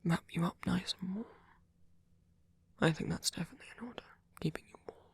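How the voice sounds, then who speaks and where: Performer as a male vampire boyfriend, whispery and very breathy, creaky, tender, attentive, hesitant, ASMR roleplay. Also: medium pitch, no echo. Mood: tender, reassuring. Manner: hesitant, halting, rambling, with soft filler murmurs.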